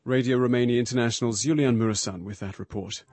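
A man presenting a radio news program, reading scripted links in a smooth, even way. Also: medium pitch, quick pace, slight background noise.

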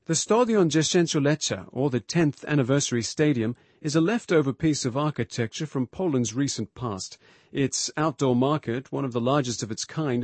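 A man presenting a radio news program, reading scripted links in a smooth, even way. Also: fairly high pitch, no echo.

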